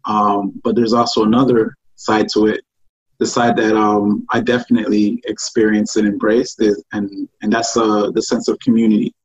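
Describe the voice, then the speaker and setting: A relaxed man with a husky, slightly rough voice answers questions on a podcast. He pauses often with "um" as he thinks. The audio is dull and lo-fi. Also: on the low side. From born in Ghana, raised in Toronto. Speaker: guest, artist. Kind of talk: podcast interview.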